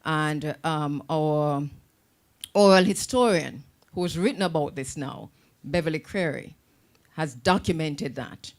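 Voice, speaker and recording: A woman's voice: sits low, takes it slowly. A Jamaican woman hosting a radio talk show, chatty and affirming with her guests. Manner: warm, affirming, chatty interviewer. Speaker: radio host.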